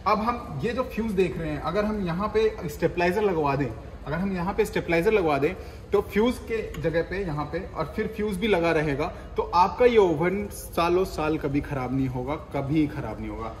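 A man's voice: on the high side, moderate pace. A young man explaining oven panels in a casual demo, repeating himself and restarting phrases often. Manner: explains step by step with many repetitions and restarts. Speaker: bakery equipment seller. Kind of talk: casual product demonstration. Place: workshop with ovens, indoors. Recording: echoey room, faint noise.

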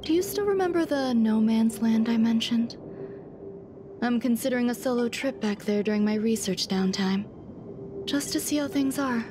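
A woman voicing an aloof, soft-spoken scientist in a video game, speaking in a calm, measured, detached way. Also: a little high, noisy background, close to an everyday voice. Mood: wistful, calm. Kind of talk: scripted video game voice acting. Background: game music.

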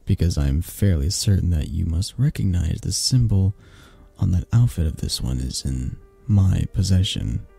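A man playing a possessive mafia boss in a romantic ASMR audio roleplay, speaking haltingly with sighs and pointed pauses in a low, breathy, husky voice. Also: animated, mellow, faint music behind, exaggerated voice. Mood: cold menace. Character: possessive, menacing young mafia boss, softening into awkward tenderness.

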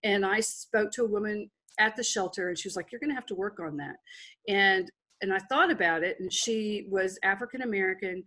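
Husky-voiced woman on a video call. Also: mid-range voice, a little fast, pushed and bright. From Texas. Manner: frank and rambling, with restarts. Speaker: business guest. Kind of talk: candid interview over video call. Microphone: headset, video call.

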